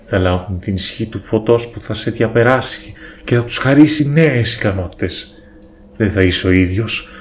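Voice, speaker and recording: A man voicing an ancient wise sage in a cartoon, giving slow, solemn counsel. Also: lowish voice, a little hiss or hum, close to an everyday voice. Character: ancient wise sage spirit, calm and grave.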